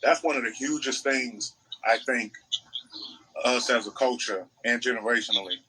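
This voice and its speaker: A man's voice: mid-range voice, moderate pace, bright, forceful tone, slight background noise, poor audio. An American man chatting casually as a podcast co-host, making opinionated points emphatically and repeating phrases.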